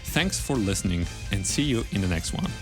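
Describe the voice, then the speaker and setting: A man hosting an interview podcast, speaking in a polite, measured way with formal phrasing. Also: lowish voice, very animated, over music. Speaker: podcast host. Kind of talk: interview, then podcast sign-off.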